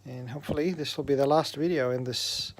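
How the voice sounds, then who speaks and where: A man's voice: medium pitch, steady tone, brisk. A man presenting an electronics repair demonstration, explaining things step by step in an informal way.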